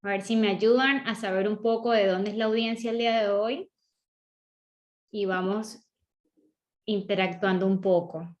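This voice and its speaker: A woman from southern Venezuela, with a Venezuelan accent that sounds a bit Colombian, hosting an online webinar and greeting attendees warmly by name. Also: mid-range voice, fairly even intonation, unhurried, pauses often, lo-fi sound. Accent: Venezuelan, slightly Colombian-sounding. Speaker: webinar host. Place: online video call.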